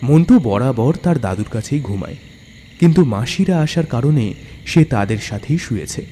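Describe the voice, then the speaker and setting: A man's voice: mid-range voice, lively intonation, moderate pace, mellow, slight background noise. A man narrating a horror story in a dramatic, scripted storytelling style. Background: music.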